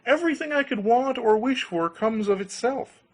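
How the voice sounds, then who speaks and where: Storybook lad, played by a man: high, animated, gullible, cheerful, exclaims eagerly. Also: normal speed, full character voice. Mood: overjoyed. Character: cheerful, gullible young man, naive and easily delighted. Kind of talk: storybook character voice.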